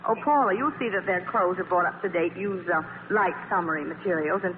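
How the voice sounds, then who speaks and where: An actress playing an ambitious, guarded magazine editor in a 1940s radio play, crisp and commanding with a dry, sardonic manner. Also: female voice, on the low side, brisk, natural voice. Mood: brisk, businesslike. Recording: hissy, tinny old radio broadcast, hall reverb.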